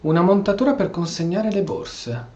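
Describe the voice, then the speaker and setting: A man's voice: high voice, sing-song, a little fast, mellow, highs cut off, slight background noise. A man giving casual gameplay commentary, musing and thinking aloud. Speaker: gameplay streamer. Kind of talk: casual Italian-style let's play commentary. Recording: game music behind the voice.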